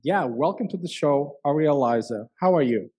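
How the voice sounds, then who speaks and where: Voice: mid-range voice, animated, moderate pace, warm tone, breathy edge. A show host interviewing a guest over a video call, often restarting phrases. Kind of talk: interview questions.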